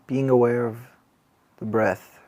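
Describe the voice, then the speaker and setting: A man with a mid-pitched voice leads a guided meditation. His delivery is very even and barely moves in pitch. He speaks gently and calmly, leaving long, unhurried pauses between phrases. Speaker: mindfulness teacher. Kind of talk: guided body scan meditation.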